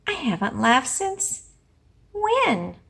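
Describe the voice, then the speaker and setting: A woman's voice: sits high, huge pitch swings, extremely slow, creaky, fairly bright tone, breathy edge, heavily acted. A woman voicing a wistful female hen in a children's storybook, with exclaiming, swooping emphasis. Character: wistful female hen missing farmyard fun.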